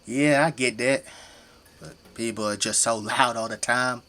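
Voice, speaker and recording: Jovial middle-aged dad, folksy, teasing, joking, medium pitch, audio drama. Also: male voice, fairly even intonation, unhurried, sharp tone. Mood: jovial, frank. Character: folksy, jovial Midwestern family man, zombie-fighting janitor.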